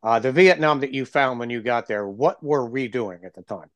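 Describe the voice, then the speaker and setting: Male podcast host, congested and froggy, relaxed conversational questioner, dry close sound. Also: mid-range voice, moderate pace, dry recording. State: stuffy, froggy from cold medicine. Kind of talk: casual interview chat.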